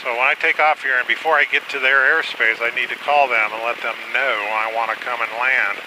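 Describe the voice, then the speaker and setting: A man's voice: medium pitch, fairly even intonation, a little fast. A man who is flying a small plane, explaining things matter-of-factly in casual commentary with radio calls. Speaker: private pilot narrating his own flight. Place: small aircraft cockpit in flight. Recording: loud small-plane engine and cockpit noise.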